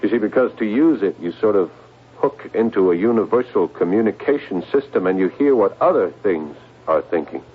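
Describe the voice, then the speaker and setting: A paranoid, middle-aged secret agent convinced of doom. His low voice swings widely in pitch, intense and insistent, on a thin, noisy old radio drama recording. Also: expressive, a little fast, breathy edge, phone-quality sound. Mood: earnest, confiding. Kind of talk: 1970s radio drama acting.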